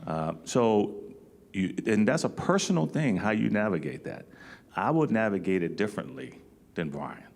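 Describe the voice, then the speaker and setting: A man's voice: fairly low pitch, sing-song, normal speed, creaky. A man speaking as a panelist, giving direct advice and checking in with the audience. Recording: long hall reverb.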